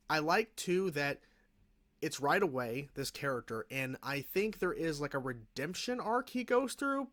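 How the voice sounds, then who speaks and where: A man's voice: a little high, a bit bright. A man hosting a reaction video, giving casual commentary in a rambling, self-correcting way with frequent hedges. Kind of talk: casual review commentary on a cartoon episode.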